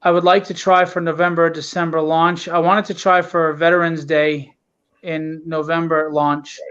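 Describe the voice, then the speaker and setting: A man with a fairly high, smooth voice talks casually and candidly as an interview guest, explaining his work in an earnest way. The recording is dull and low in fidelity, like an online call. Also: level tone, normal speed, a bit bright. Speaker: guest, comic book writer and publisher. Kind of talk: casual interview talk, plugging and explaining a project.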